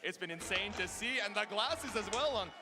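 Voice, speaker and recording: A man's voice: high voice, animated, normal speed, noisy background. A man giving live play-by-play and analysis as a commentator at an esports tournament. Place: event stage with audience, heard through a stream.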